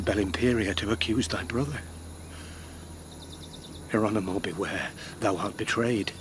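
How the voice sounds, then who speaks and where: Anguished grieving father, slow-paced. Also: on the low side, background noise. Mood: brooding, wary. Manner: anguished, brooding soliloquy delivery. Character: grief-stricken father, Knight-Marshal of Spain, bent on revenge. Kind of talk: classical verse radio drama.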